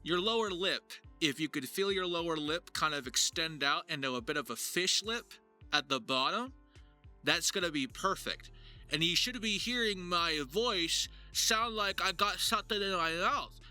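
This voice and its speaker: A man presenting a voice acting tutorial to viewers in an animated, enthusiastic explaining manner. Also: high voice, moderate pace. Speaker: voice actor, writer and video presenter. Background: music.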